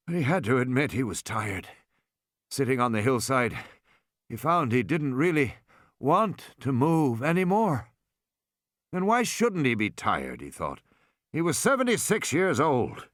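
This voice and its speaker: A man narrating a suspense novel as an audiobook, in an expressive, dramatic delivery. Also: medium pitch, dry recording, studio quality. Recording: added music and sound effects underneath. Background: music and sound effects.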